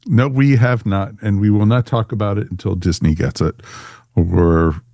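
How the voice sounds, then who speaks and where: A man's voice: fairly low pitch, normal speed, warm tone, no echo, clean studio sound. A man from the United States hosting a podcast in a chatty, rambling, welcoming way. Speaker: podcast host. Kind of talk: casual podcast talk.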